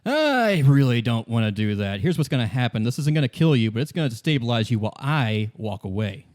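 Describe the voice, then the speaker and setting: A man's voice: medium pitch, big pitch swings, fairly quick, a little mellow, close to an everyday voice. Man playing a wary, defiant woman on the run. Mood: cool threat. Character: wary red-haired gunwoman in hiding, defiant and bitter.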